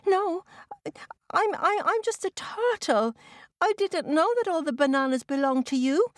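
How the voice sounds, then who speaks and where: A woman's voice: very high-pitched, expressive, a little slow, dry recording, clean studio sound, pitched above the performer's own voice. A woman voicing a meek, innocent young female turtle in a children's story, speaking in a timid, earnest way. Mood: timid, pleading.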